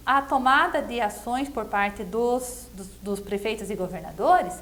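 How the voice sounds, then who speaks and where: High-pitched Brazilian woman, earnest commentator. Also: unhurried, fairly bright tone. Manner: earnest, explanatory, frequently seeking agreement with tag questions. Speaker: interview guest, political commentator. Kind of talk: conversational political commentary.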